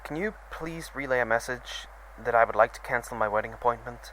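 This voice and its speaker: A man playing a hesitant, apologetic teenage boy in an audio drama, speaking in a halting, earnest way. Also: medium pitch, fairly bright tone, a little hiss or hum, lightly acted, low-quality recording.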